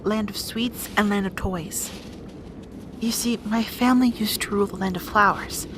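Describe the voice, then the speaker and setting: A woman's voice: mid-range voice, steady tone, moderate pace, noisy background, lightly acted. A woman playing a bossy, guarded young rat princess in an audio roleplay drama, with exasperated, wry asides. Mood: guarded, explaining.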